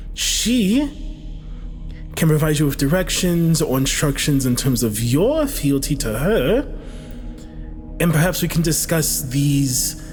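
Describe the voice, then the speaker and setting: A sly dark elf, played by a man at a game table speaks slowly, with pitch that swings widely, music clearly behind. He negotiates deliberately and lets the threat show beneath the courtesy. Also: natural voice. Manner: measured, deliberate, veiled threats. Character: sly, threatening male drow crossbowman, coolly negotiating. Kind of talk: in-character tabletop roleplay.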